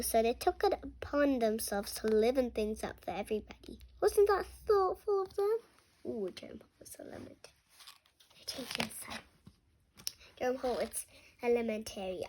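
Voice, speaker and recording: A seven-year-old child reading a funny children's book aloud, expressively and with some halting stumbles over words. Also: medium pitch, darkish tone. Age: 7 years old. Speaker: young reader.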